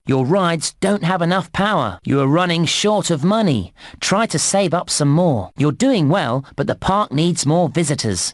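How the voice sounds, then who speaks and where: A man playing a cheerful, encouraging theme park guide in a game, giving scripted instructions in a clear presenter's delivery. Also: fairly high pitch, no echo, studio quality. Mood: concerned. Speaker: game narrator and tutorial guide.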